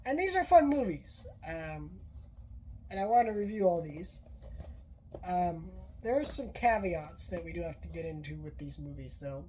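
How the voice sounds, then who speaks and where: A woman with a lowish voice who is sick, and her voice sounds off. She reviews a film in a loose, rambling way, full of "um"s and restarts. Faint room ambience sits behind her. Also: sing-song, moderate pace, warm tone, a little hiss or hum, poor audio. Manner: rambling, many filler words and restarts. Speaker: movie reviewer. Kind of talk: casual spoken film review.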